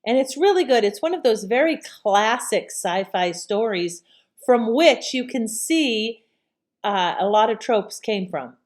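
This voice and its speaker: A woman's voice: on the high side, animated, measured pace. A woman giving a casual host's introduction to an audio drama.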